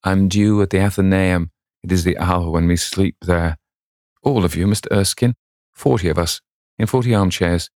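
Man reading as a courteous old gentleman, low-voiced. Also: steady tone, moderate pace, no echo, studio quality, lightly acted. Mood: courteous, wry. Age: older. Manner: formal, courtly. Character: courteous old English gentleman, bookish and drily witty.

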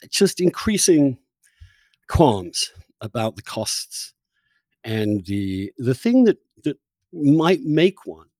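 Halting male host, dry studio-close sound. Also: medium pitch, a little mellow, no room sound. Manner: halting, self-revising, choosing words carefully. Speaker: interview host. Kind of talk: conversational political discussion.

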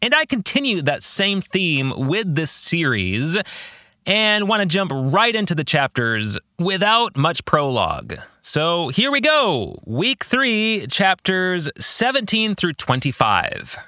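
A man's voice: high voice, huge pitch swings, takes it slowly, pushed and bright, dry recording, clean studio sound. A man hosting a podcast, narrating in an earnest, emphatic way as an author introducing his work.

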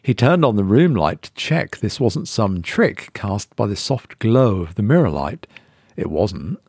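Low-voiced man narrating a horror story. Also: sing-song, a little mellow, slightly rough, no room sound, studio quality. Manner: measured, suspenseful storytelling. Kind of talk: scripted dramatic narration.

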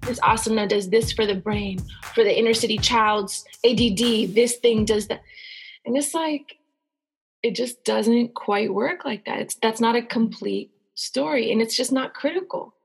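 Higher-pitched woman, informal interviewer. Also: vocal fry, poor audio. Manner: informal, halting, rephrases questions mid-thought. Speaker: host, interviewer. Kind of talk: casual conversational interviewing.

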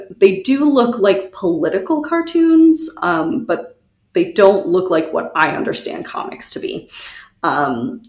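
A woman co-hosting a podcast, presenting researched history in a conversational, wry and animated way with giggly asides. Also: lowish voice, roomy sound. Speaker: podcast co-host.

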